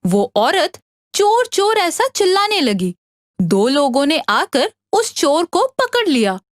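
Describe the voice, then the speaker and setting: A woman reading scripted narration for an animated children's story. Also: sits high, takes it slowly, no room sound, studio quality.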